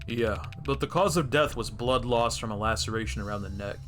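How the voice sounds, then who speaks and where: A man's voice: medium pitch, a little fast, fairly bright tone, soft background music, natural voice. A man voicing a blunt, jaded, suspicious crime-scene investigator in a clipped, accusing manner for a game. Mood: grim.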